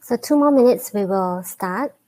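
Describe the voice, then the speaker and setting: Woman, webinar host, relaxed and friendly, filler-heavy delivery. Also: mid-range voice, poor audio. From Malaysia. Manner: friendly, many fillers and pauses. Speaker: webinar host and programming teacher. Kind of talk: live online webinar greeting and intro.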